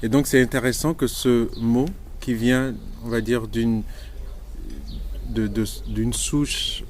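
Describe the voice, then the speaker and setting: A man's voice: mid-range voice, steady tone, takes it slowly, darkish tone, a little hiss or hum. A man explaining a historical idea in an interview, searching for words and using many fillers.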